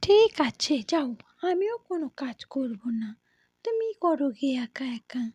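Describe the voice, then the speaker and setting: Very high-pitched little cartoon girl. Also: very animated, a little slow, a little mellow, dry recording. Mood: sulky. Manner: pleading, childlike. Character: sweet, sulky, eager little girl, the younger sister.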